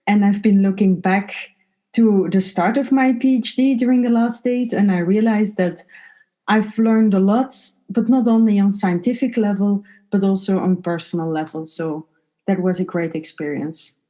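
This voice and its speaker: A woman from Belgium, a PhD graduate, reflecting in a panel talk with thoughtful pauses and fillers. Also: mid-range voice, fairly even intonation, warm tone.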